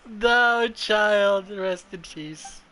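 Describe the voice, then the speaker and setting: A man doing live reaction commentary on a video game, excitable, slangy and profane, given to dramatic pleading. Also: high-pitched, extremely slow, clean tone, faint music behind, poor audio. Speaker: gaming streamer.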